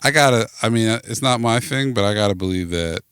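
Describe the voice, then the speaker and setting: A man's voice: on the low side, brisk, fairly bright tone, clean tone, no room sound, clean studio sound. A man being interviewed as a podcast guest, chatting casually and thinking aloud as he goes. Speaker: podcast guest, network founder.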